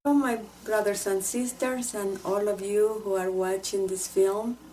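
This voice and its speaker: Slow, mellow woman. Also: mid-range voice, fairly even intonation, slight background noise, poor audio. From El Salvador. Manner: halting, reflective, earnest. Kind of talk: personal testimony to camera.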